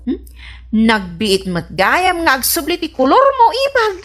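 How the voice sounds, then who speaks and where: A woman's voice: sits high, huge pitch swings, a little slow, exaggerated voice. A woman playing a teasing, sarcastic sister-in-law in an Ilocano radio comedy drama, with an Ilocano accent.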